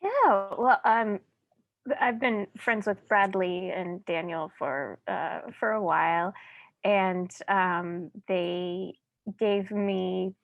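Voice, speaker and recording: A woman being interviewed as a director, speaking casually and haltingly with pauses mid-sentence. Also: mid-range voice, creaky, dry recording, muffled recording.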